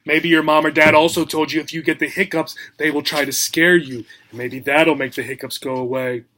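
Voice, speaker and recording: A man reading a picture book aloud as a teacher, in simple short phrases, with animated questions for young listeners. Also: fairly high pitch, brisk, slight background noise. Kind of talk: children's read-aloud lesson, asking questions.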